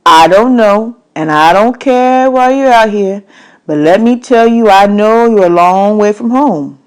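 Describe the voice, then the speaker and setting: A woman's voice: mid-range voice, measured pace, smooth, voice pushed up, lightly acted, poor audio. A woman voicing a commanding but kindly man who calls out in a folksy, emphatic way in a children's storybook. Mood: firm, coaxing. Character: adult male stranger, commanding but kindly, calling out at night. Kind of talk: children's audiobook read-aloud.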